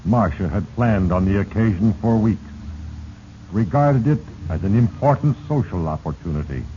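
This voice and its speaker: Male actor as sinister spirit narrator, very low voice, grave and deliberate, old radio drama, dull band-limited sound. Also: animated, warm tone, lightly acted. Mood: ominous. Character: Fate, sinister solemn male spirit narrator manipulating mortals. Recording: dull, noisy 1940s radio recording.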